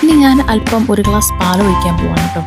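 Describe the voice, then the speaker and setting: A woman's voice: mid-range voice, animated, fast, vocal fry, loud music behind the voice. A woman narrates a recipe vlog, chatting to viewers and often putting questions to them. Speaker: cooking vlogger.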